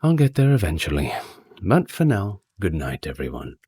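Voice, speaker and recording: A man speaking softly and breathily as the host of an ASMR channel, talking casually to his listeners. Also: deep voice, huge pitch swings, normal speed, husky, no echo.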